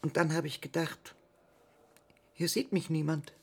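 An actress in a radio play voicing a wistful, consoling woman in her sixties with a husky, gravelly voice. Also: low-pitched, fairly even intonation, a little slow, a little mellow, exaggerated voice. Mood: wistful, reminiscing. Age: 60s. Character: wistful woman of sixty-six, gentle and consoling. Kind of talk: radio drama acting.

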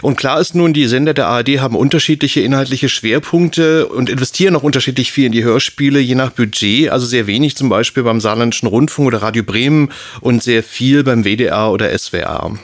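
A man's voice: medium pitch, fairly quick, no echo. A man giving a conversational report as a radio journalist in a studio. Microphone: close studio microphone.

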